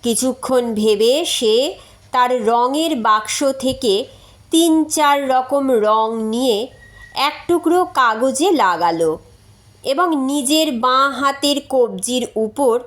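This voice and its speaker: High-pitched woman reading a story slowly. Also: a bit bright. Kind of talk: scripted story narration, reading aloud.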